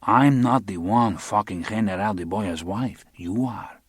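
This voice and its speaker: Guy doing a sly smooth man, soft and smug, low voice, dry read. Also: measured pace, no echo. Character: smooth, sly Latin American man, patient, needling.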